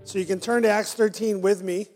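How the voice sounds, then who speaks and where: A man preaching a sermon as pastor, his voice raspy and hoarse from a raw throat, talking conversationally with frequent fillers. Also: high voice, fairly even intonation, a little fast, darkish tone, soft background music. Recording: long hall reverb.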